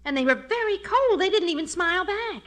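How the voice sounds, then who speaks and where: An actress playing a suspicious, no-nonsense housewife with scolding, emphatic delivery in 1950s radio sitcom style. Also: female voice, very high voice, animated, brisk. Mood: worried, suspicious.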